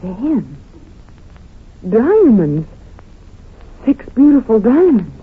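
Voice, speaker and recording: An actress playing an eager, playful young sweetheart in an old radio drama, curious and coyly affectionate. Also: female voice, a little high, big pitch swings, drawn-out words, husky, heavily acted. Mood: coy, adoring. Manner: curious, coy, affectionate questioning. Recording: muffled, narrow vintage radio recording.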